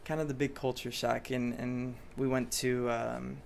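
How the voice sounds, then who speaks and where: A man's voice: mid-range voice, level tone, a little slow, vocal fry, a little hiss or hum. A young man from France, a native French speaker, talking in a casual interview with many fillers and restarts. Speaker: pop singer guest.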